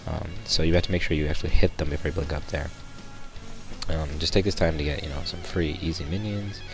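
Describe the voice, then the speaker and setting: A young man with a raspy voice, sick, gives a rambling gameplay walkthrough in a very low register. Loud music plays behind his voice-over. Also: fairly even intonation, quick pace. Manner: rambling, many ums and restarts. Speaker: gameplay commentator. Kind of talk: casual gameplay tutorial voice-over.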